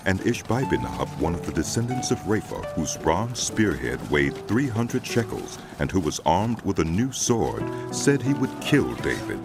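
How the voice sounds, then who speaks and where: A very low-voiced man narrates dramatized scripture with music behind him. His delivery is solemn and lively in pitch, shaped like storytelling. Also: creaky. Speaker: Bible narrator. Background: background music.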